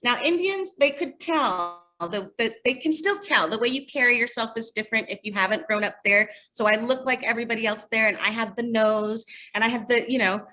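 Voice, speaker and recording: A woman answering interview questions in a casual, animated storytelling way. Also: on the high side, a little fast, few pauses, poor audio. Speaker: interview guest, magazine editor.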